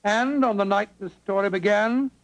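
An avuncular old English doctor telling his memoirs in a vintage radio drama. His voice sits high for a man, and he fusses and hesitates kindly as he goes. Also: normal speed, low-quality recording. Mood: genial, reminiscing. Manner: avuncular, fussy, hemming and hawing. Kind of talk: 1940s radio drama acting and storytelling. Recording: vintage 1940s radio broadcast recording.

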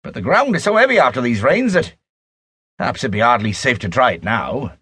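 A man's voice: medium pitch, big pitch swings, brisk, fairly bright tone, breathy edge, dry recording, studio quality, exaggerated voice. A man voicing a deferential, cautious coachman, folksy and hesitant, in an audiobook. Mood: doubtful, deferential. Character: deferential rustic male coachman, cautious country servant.

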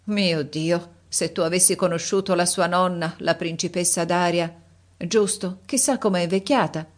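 A woman voicing a fussy, imperious elderly countess in a read-aloud story, speaking in a shrill, scolding manner. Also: fairly even intonation, normal speed, natural voice. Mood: chatty. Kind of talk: audiobook character voice.

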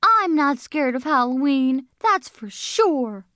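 A woman voicing a boastful little schoolboy who talks loud and blustery. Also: high-pitched, big pitch swings, slow pace, dry recording, clean studio sound, voice pushed up, heavily acted.

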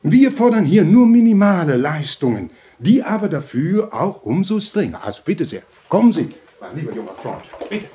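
High-pitched, sanctimonious fatherly institution head. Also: very animated, warm tone, slight background noise. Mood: smooth, domineering. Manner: preachy, pompous, drawn-out emphatic phrasing. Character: unctuous, domineering, sanctimonious fatherly head of a halfway house. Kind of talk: German audio drama acting.

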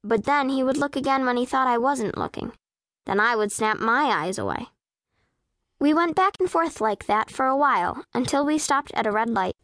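A proud eleven-year-old girl tells her own story in a children's audiobook. Her voice is high and recorded very dry. She speaks precisely, with composed, matter-of-fact self-possession. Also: lively intonation, normal speed, a bit bright, dry recording. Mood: composed, wry. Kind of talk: first-person audiobook narration of children's fiction.

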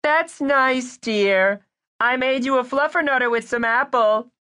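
Woman playing a doting mom, sing-song, high, bright. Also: measured pace. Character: sweet doting mother calling upstairs.

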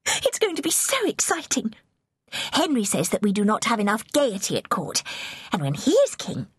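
A woman's voice: on the high side, wildly swooping pitch, breathy edge, no room sound, voice pushed up, heavily acted. A woman voicing a young English princess, girlish, vivacious and impulsive, in an audiobook. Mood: excited, affectionate. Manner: gushing, emphatic, rapid changes of feeling.

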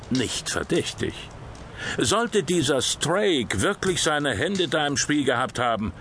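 A sober official in an audio drama, explains stuff slowly n carefully, pitch moves around a lot, bit of background noise. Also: male voice, fairly bright tone. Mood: cautious, factual. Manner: measured, explanatory, slightly hesitant. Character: official man soberly briefing a priest on a case. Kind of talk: German audio drama dialogue.

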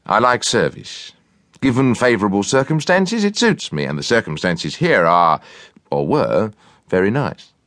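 A man's voice: lowish voice, big pitch swings, unhurried, a bit bright, no room sound, voice pushed up. A man voicing a neat, proper manservant in a storybook, with a dry, dignified air. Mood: reflective, dignified.

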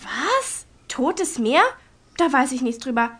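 A woman's voice: high-pitched, lively intonation, slow, fairly bright tone, exaggerated voice. A woman playing an eager, teasing know-it-all schoolgirl in a children's audiobook comedy. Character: bright-eyed, know-it-all schoolgirl riddler.

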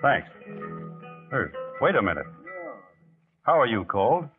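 An actor playing a laconic, steady American volunteer with an understated, hesitant delivery in old radio drama style. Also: male voice, medium pitch, unhurried, soft background music. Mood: casual, reticent. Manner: understated, hesitant, plain-spoken. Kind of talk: 1940s radio acting. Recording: muffled, narrowband vintage radio recording.

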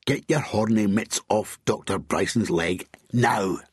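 A man's voice: medium pitch, expressive, moderate pace, breathy edge, no room sound, studio quality, pitched above the performer's own voice. A man voicing a grumpy, sarcastic police boss who snarls and scolds in exasperation. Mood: snarling.

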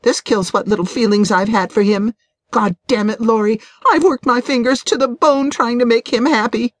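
A woman's voice: high-pitched, expressive, normal speed, no room sound, studio quality, voice pushed up. A woman voicing a distraught, bitter middle-aged wife in an audiobook, with a tearful, indignant manner.

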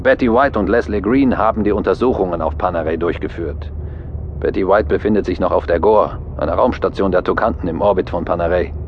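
A brisk, businesslike official in a sci-fi radio play, acted by a man with a low voice. His voice sounds dull and muffled, like a transmission. Faint noise hangs behind it. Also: lightly acted. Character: businesslike male official calling by video link. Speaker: planetary commission official.

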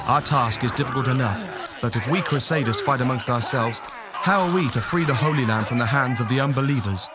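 A man's voice: medium pitch, brisk, natural voice. A man acting a brave, loyal English crusader knight in a children's audio drama, earnest and declamatory. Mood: grave. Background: music.

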